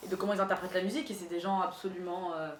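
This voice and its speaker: A woman talking casually and reflectively, halting as she searches for her words. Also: fairly low pitch, flat delivery. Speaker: event programmer. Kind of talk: casual reflective interview talk. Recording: echoey room, off-mic, faint noise.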